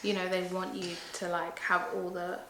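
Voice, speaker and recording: A woman's voice: fairly low pitch, monotone, normal speed, roomy sound, background noise. A woman from Zimbabwe who grew up in Britain and speaks, co-hosting a casual chat and leaning on "like" a lot. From Zimbabwe, raised in the UK from age four. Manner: chatty, leans on "like" a lot. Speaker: co-host. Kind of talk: casual chat answering viewer questions.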